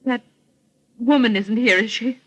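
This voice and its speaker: A woman's voice: on the high side, unhurried, a bit bright, husky. An actress playing an anxious, genteel and aggrieved woman in a 1940s radio melodrama, earnest and dramatic. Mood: anxious, guarded.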